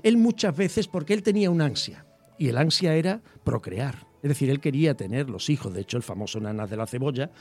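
A man speaking as a guest about a poet, explaining at length and sometimes reading verse slowly and softly. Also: mid-range voice, expressive, faint music behind. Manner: reads verse slowly and softly, trailing off at line ends; rambling, restarts words while explaining. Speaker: literature guest. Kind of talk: explanatory radio talk with poetry reading.

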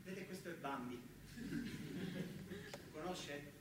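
A man's voice: fairly high pitch, slow pace, far from the mic, voice pushed down. Male, stage monologue: performer as pompous recruiter, formal and examining, in a very echoey, noisy room. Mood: formal, instructing. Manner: formal, examining, full of praise. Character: pompous, probing male factory recruiter from Turin.

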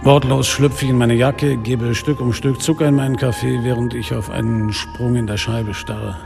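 An actor playing a sardonic, world-weary police inspector who narrates a radio drama in a dry, deliberate manner. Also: male voice, medium pitch, fairly even intonation, gravelly, natural voice. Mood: somber. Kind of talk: radio drama acting, literary first-person narration. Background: music.

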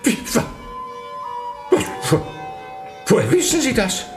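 Radio drama archbishop: high voice swooping wildly, stammering, fawning, easily flustered; music behind. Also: male voice, huge pitch swings, measured pace, exaggerated voice. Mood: excitable, nervous. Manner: stammering, hesitant, obsequious. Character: flustered, eager-to-please German archbishop entertaining a noble guest. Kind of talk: radio play acting. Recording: roomy studio sound, music behind.

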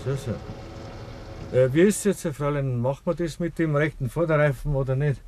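An actor playing a wary Bavarian village garage owner in a dialect radio play, husky and halting as he probes. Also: a little high, a little mellow, natural voice.

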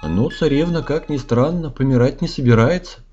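A man's voice: mid-range voice, moderate pace, warm tone. A man narrating a comic fairy tale in rhyme, with a performed storyteller delivery. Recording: dull sound with music. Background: music.

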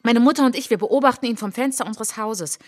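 An actress playing a reflective French woman traveller in a radio play monologue, speaking in a measured, intimate, literary way. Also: a little high, natural voice. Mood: lonely, hurt.

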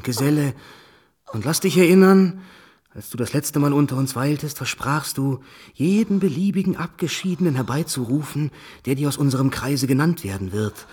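Husky, pompous séance-leading doctor. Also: male voice, mid-range voice, darkish tone. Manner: solemn, formal, pompous oratory. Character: commanding, theatrical male doctor leading a séance.

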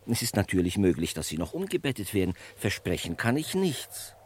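A man's voice: low-pitched, very animated, brisk, darkish tone, breathy edge, heavily acted. An actor playing an officious, rule-bound cemetery watchman in an old German radio play, pedantic and stiffly polite. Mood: officious, impatient. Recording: old radio recording, faint noise.

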